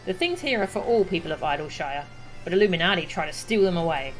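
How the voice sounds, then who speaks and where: A woman's voice: fairly low pitch, animated, fast, close to an everyday voice, rough recording. Casting: a woman reading as a friendly goblin quest giver, broken grammar, her voice a touch raised, faint music behind. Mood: earnest.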